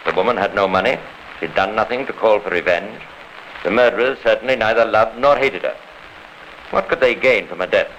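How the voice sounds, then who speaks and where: A man's voice: fairly low pitch, a bit bright, muffled recording. A man narrating a crime drama for 1950s radio in a formal, rhetorical, scripted style. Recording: hissy old 1950s radio recording.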